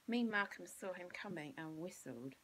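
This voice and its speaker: A woman's voice: lowish voice, animated, normal speed, very noisy. A woman with an English accent reading a children's storybook expressively, as a teacher would to pupils.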